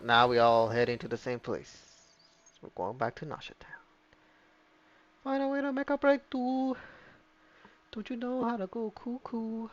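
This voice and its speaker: A man doing casual gameplay commentary on a livestream, excitable and playful, blurting out reactions and sound effects. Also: very high-pitched, a little slow, long pauses, muffled recording. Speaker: game streamer.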